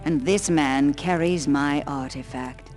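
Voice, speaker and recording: Fantasy game older female head of a sorceress order: measured, formal, commanding, music underneath. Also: low-pitched, a little mellow. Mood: grave, commanding. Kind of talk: scripted fantasy game acting.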